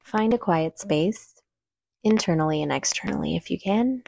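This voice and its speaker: A woman leading a guided meditation with calm, soothing, unhurried instruction. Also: on the low side, gravelly, dry recording.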